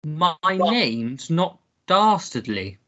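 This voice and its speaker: A pompous young suitor, acted by a woman, full of himself. The voice is fairly low and theatrical, swinging widely in pitch and taking its time. It is radio melodrama, recorded a little dully. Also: very animated, drawn-out words, bright, forceful tone, dull audio, heavily acted. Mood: indignant. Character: pompous young gentleman suitor, self-satisfied, easily outraged. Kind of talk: melodramatic radio play acting.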